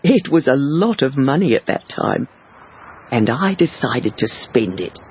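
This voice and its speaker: A man reading a first-person life story slowly and carefully for language learners, on a dull lo-fi recording. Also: mid-range voice, very animated, slight background noise. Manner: slow, careful, clearly paced reading. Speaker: audiobook reader.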